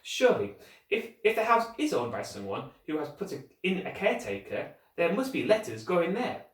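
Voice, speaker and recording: A man's voice: fairly high pitch, big pitch swings, normal speed, voice pushed up. A man voicing a polite, slightly hesitant young schoolboy in a storybook. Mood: inquiring. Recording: roomy echo, distant microphone.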